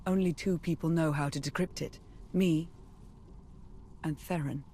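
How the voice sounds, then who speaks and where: A woman playing a brisk, analytical military adviser in a dramatic sci-fi video game. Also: deep voice, fairly even intonation, mellow, a little hiss or hum. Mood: puzzled, analytical. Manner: urgent, serious.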